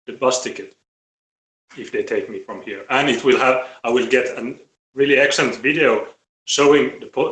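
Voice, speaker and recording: A man with a Finnish accent talking casually and bluntly on a live stream, halting and repeating his words. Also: medium pitch, bright, forceful tone. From Finland. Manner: halting, repetitive, blunt with swearing. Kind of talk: casual opinionated live talk. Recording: roomy, echoey live-stream audio.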